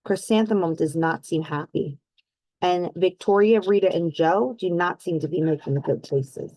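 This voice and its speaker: A woman reading a storybook aloud to children in an expressive, deliberate way, pausing to put questions to her young listeners. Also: fairly low pitch, dry recording, rough recording. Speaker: read-aloud host for children.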